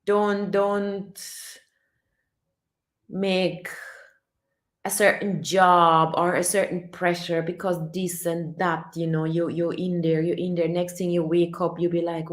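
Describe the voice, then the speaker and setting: A woman chatting casually on a livestream, reading her chat and urging viewers to chase their dreams, animated and repeating herself often. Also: lowish voice, reverberant. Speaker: vlogger reading live chat.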